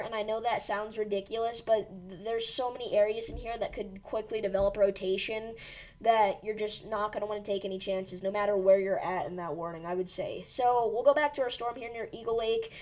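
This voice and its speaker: A woman's voice: mid-range voice, fairly even intonation, fairly quick, darkish tone. Woman with a fading voice, live storm commentary. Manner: urgent but reassuring, rambling with fillers. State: losing her voice. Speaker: weather livestream host.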